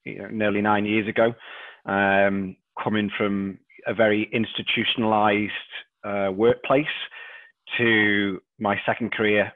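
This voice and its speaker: A man in his thirties with a Liverpool accent, a podcast guest who talks haltingly and repeats words and fillers. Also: on the low side, little pitch movement, no echo. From England. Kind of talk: casual interview chat. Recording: dry tinny phone-like line.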